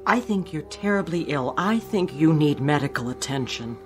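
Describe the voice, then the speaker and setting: An actress playing a blunt, no-nonsense secretary in a radio drama, speaking in a formal way. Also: female voice, low-pitched, animated, moderate pace, faint music behind. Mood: firm. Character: firm, no-nonsense female secretary.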